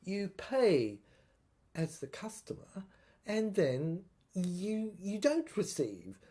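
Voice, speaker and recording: A woman playing a troubled soldier in an audio drama monologue, thinking aloud in a halting, stammering, husky voice. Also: medium pitch, sing-song, mellow, heavily acted. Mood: puzzled discovery. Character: troubled soldier piecing together a dead professor's secret diary.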